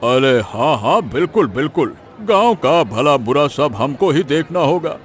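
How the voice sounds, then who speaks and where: A man's voice: fairly high pitch, very animated, measured pace. A man playing a hesitant, easily swayed village headman in a cartoon, folksy and mildly cautious. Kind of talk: Hindi cartoon moral story acting.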